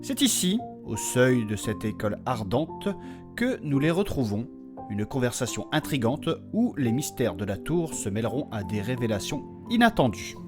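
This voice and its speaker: A man's voice: medium pitch, very animated, moderate pace, music in the background, close to an everyday voice. A man playing the storyteller of a fantasy saga, giving solemn, dramatic scripted narration. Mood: mysterious.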